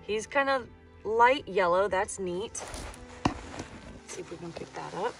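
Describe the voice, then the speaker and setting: A woman's voice: medium pitch, expressive, slow, vocal fry, faint music behind. A woman in her thirties with an American accent, talking in an easygoing, colloquial way in a casual outdoor vlog. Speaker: rock-hunting vlogger. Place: outdoors on a pebbly beach.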